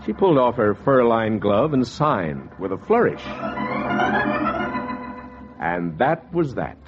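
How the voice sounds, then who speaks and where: An actor playing a greedy, cynical schemer in a 1940s noir radio drama, with wry, dramatic delivery. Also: male voice, medium pitch, very animated, slow pace, over loud music. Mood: smug. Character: greedy, cynical male schemer telling his story. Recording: dull vintage 1940s radio recording.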